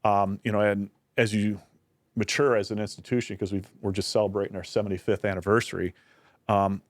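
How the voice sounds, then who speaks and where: Low-voiced man with a dry close sound. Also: little pitch movement, fairly quick, no room sound. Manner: earnest, explanatory, frequent restarts. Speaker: university director of military affairs, guest. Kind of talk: casual podcast conversation.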